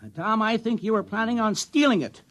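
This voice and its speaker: An eager, self-important policeman in a radio play. The voice is very high and sharp, stammering with officious duty. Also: fairly quick. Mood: officious, suspicious. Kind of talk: 1950s radio comedy drama acting.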